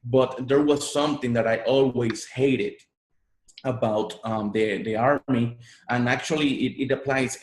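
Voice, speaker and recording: A man's voice: medium pitch, steady tone, unhurried, roomy sound, poor audio. A man from Puerto Rico with a Puerto Rican Spanish accent, Spanish his first language, telling his story as an interview guest in an earnest way, often repeating words. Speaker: guest, online marketer.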